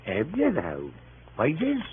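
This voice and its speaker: An actor playing a jaunty, wisecracking manservant in 1930s radio comedy style. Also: male voice, mid-range voice, wildly swooping pitch, takes it slowly. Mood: shrewd, cheeky. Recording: scratchy, tinny 1938 radio broadcast.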